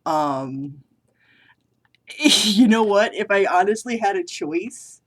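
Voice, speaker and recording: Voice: medium pitch, expressive, slow. Rambling vlogger from New England. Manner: rambling, self-deprecating, frequent restarts. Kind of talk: casual chat to camera. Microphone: camera mic.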